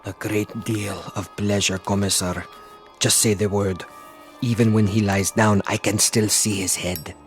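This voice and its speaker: Man playing a low-voiced, eager young Soviet gunman. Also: level tone, unhurried, faint music behind, natural voice. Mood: bloodthirsty. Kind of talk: radio drama acting.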